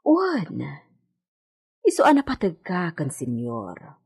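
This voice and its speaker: A woman's voice: fairly low pitch, wildly swooping pitch, takes it slowly, a little mellow, breathy edge, exaggerated voice. A woman with an Ilocano accent acting a practical, reassuring housekeeper in a radio drama, coaxing and giving advice.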